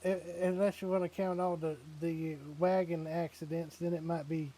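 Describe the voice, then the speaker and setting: A man chatting in character during a roleplay game, joking with quick comebacks. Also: fairly high pitch, flat delivery, dark tone, poor audio. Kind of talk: casual roleplay chat in character.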